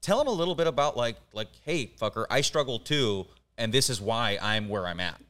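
A man's voice: medium pitch, animated, fairly quick, fairly bright tone. A young man co-hosting a podcast, talking in a laid-back, slangy way. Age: young adult. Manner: laid-back, slangy, says dude a lot. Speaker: podcast co-host. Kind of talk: casual podcast interview.

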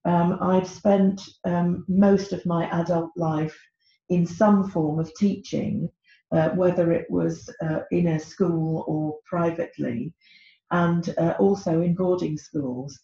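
A woman's voice: on the low side, slow pace, mellow. A middle-aged British woman, an author on a podcast, talking hesitantly with many ums. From Britain. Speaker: author guest. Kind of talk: podcast interview. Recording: echoey, dull lo-fi sound.

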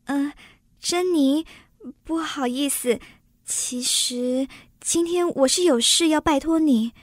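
A woman's voice: sits high, slow, slight background noise. A woman acting in a radio drama as a polite, hesitant, eager married woman asking a favour.

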